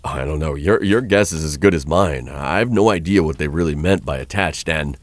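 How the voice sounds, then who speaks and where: A man's voice: rumbling low, normal speed, no room sound. A man playing a vampire captor who is dangerous yet awkwardly kind, talking in a hesitant, conversational way in an ASMR roleplay. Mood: awkward, candid.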